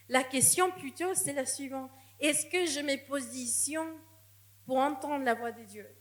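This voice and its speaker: A woman preaches in a church, and her voice sits a little high. She speaks in an earnest, personal tone and often restarts a phrase before going on. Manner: earnest, testimonial, with frequent restarts. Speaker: guest preacher. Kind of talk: sermon.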